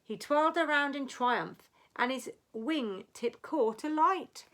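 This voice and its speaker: A woman reading a rhyming children's story aloud, with dramatic, deliberate phrasing. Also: high-pitched, a bit bright.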